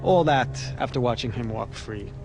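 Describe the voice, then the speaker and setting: A gravelly, wry detective. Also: male voice, mid-range voice, animated, normal speed, music in the background. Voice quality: wry, sardonic. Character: cynical male police detective, wry.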